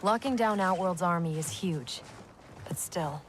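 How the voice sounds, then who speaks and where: Woman doing a young soldier, lowish voice, brisk, commanding. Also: slight background noise, close to an everyday voice. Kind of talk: video game cutscene acting.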